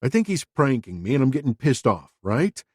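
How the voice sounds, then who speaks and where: A man's voice: mid-range voice, sing-song, brisk, breathy edge, no echo, studio quality. A man voicing a crude, defensive middle-aged father who talks in a blunt, profane, self-justifying way. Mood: gruff, candid.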